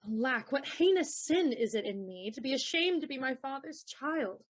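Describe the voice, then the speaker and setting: A woman's voice: high-pitched, animated, normal speed. A woman playing a dutiful but secretly rebellious young daughter in love in a Shakespearean audio play. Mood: troubled resolve.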